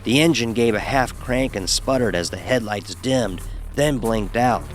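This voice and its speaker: A man's voice: mid-range voice, measured pace, soft background music. A man narrating a first-person horror story in a dramatic, wry manner.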